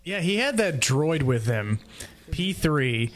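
A man hosting a casual roundtable discussion, speaking haltingly as he searches for words. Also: a little high, sing-song. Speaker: podcast host.

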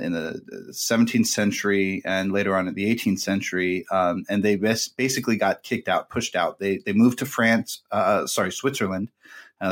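A man hosting a podcast, telling a long family story in a rambling, casual way with many fillers. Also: lowish voice. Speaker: podcast host. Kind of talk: casual conversation.

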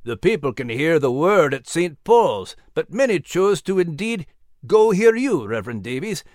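A man's voice: a little high, sing-song, normal speed, a little mellow, no echo, studio quality, voice pushed up. A man voicing a stern, skeptical middle-aged clergyman in a storybook, with a cool, huffy, pointed manner. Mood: cool, disapproving.

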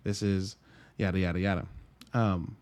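A man's voice: low voice, steady tone, moderate pace, a little mellow, smooth. A man hosting a solo podcast, talking casually to listeners in a rambling, conversational way and often interrupting himself. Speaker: podcast host and reviewer of films and games.

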